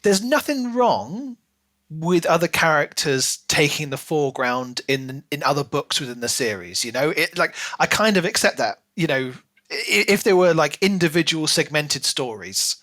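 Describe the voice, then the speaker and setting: A man's voice: on the high side, animated, moderate pace, pushed and bright. A man co-hosting a podcast, talking casually through a book and acting out bits as he explains. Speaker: podcast co-host.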